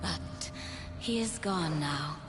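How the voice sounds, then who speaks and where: A woman's voice: sits low, slow, over music. A woman playing a seductive, scheming demon mother in a fantasy game, with silky, theatrical, coaxing delivery. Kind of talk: cinematic fantasy game acting.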